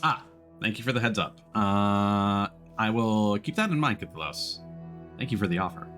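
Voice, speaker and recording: A man's voice: fairly low pitch, normal speed, a bit bright, faint music behind. A man live-streaming a game with casual commentary, reacting aloud in a wry, joking way.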